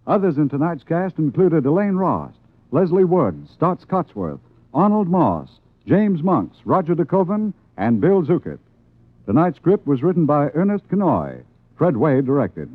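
Lively high-toned male radio announcer. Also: no room sound. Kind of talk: 1950s scripted network announcing. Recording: dry, dull 1950s radio recording.

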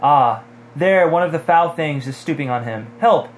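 Man reading as frightened, excitable young hobbit. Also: on the high side, normal speed, pitched above the performer's own voice, lightly acted. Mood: urgent, distressed. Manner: breathless exclamations, urgent pleading.

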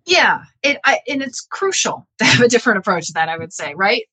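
A woman speaking as a professor in an interview, explaining her research in an earnest, measured, halting way with self-corrections. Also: a little high, big pitch swings, bright, forceful tone, husky.

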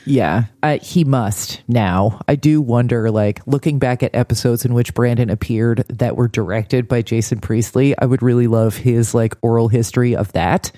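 A woman's voice: very deep voice, steady tone, moderate pace, hardly any pauses, warm tone, no echo. A woman co-hosting a casual TV recap podcast with a dry, blunt, sardonic delivery. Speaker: podcast co-host.

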